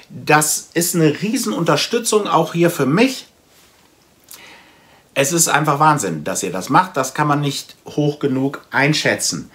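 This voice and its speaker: A man's voice: fairly high pitch, fairly bright tone. A man, talking casually and conversationally to camera as a video host, explaining as he goes. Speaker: comic blog video host. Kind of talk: casual haul vlog talk to camera. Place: small indoor room with shelves of comics.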